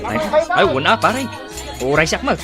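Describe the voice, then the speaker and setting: A man's voice: fairly high pitch, big pitch swings, moderate pace, loud music behind the voice. An actor playing a man's jovial cockfight buddy, who talks in an eager, coaxing way.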